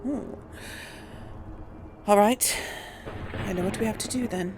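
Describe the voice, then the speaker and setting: A woman's voice: medium pitch, takes it slowly. A woman hosting a casual video game let's-play, commenting and replying in character. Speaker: gaming host. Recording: clear background game noise.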